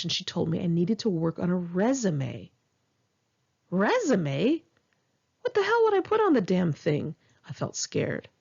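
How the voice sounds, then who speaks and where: Woman narrator for a personal memoir: lowish, husky voice, frank and emphatic delivery, on a slightly muffled home recording. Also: a little mellow. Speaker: author reading own memoir. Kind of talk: scripted memoir narration for an audiobook, read in one take.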